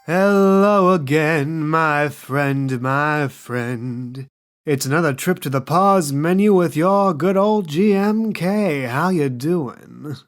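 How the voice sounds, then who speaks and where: A man's voice: on the high side, animated, slow pace, faint music behind. Laid-back game master, joking as he goes. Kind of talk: podcast host reading plugs and narrating a tabletop game.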